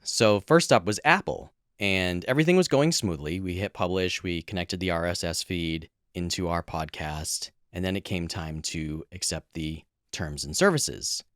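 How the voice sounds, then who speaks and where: A man's voice: low-pitched, moderate pace, hardly any pauses, a bit bright, dry recording, studio quality. A man co-hosting a podcast, explaining things in a casual, conversational way. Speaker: podcast co-host and producer.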